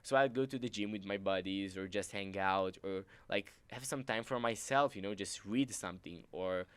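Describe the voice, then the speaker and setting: A young man from Romania, a native Romanian speaker, chatting casually as podcast host, animated and full of fillers. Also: deep voice, clear, resonant tone, dry recording. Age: teens. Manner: animated, filler-heavy, quick laughs. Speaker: podcast host, exchange student. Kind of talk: casual chat.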